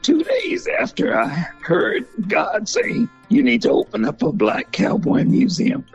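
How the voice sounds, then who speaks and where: An older man with a husky, gravelly voice, telling folksy stories in an interview. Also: high-pitched, unhurried, muffled recording, faint music behind. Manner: folksy, animated storyteller. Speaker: museum founder and former country singer. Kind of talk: storytelling in an interview.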